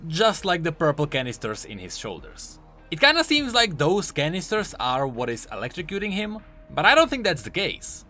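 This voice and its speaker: A man's voice: fairly high pitch, bright, forceful tone. A man hosting a video, giving scripted commentary on a game character in an explainer style. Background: music.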